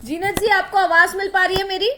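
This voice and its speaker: A woman's voice: very high voice, flat delivery, brisk, sharp tone, a little hiss or hum. A woman hosting a live TV news panel debate as anchor.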